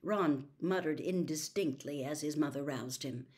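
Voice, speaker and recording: A woman reading a story aloud in an expressive narrating style. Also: deep voice, a little slow. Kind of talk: expressive audiobook-style narration with character voices.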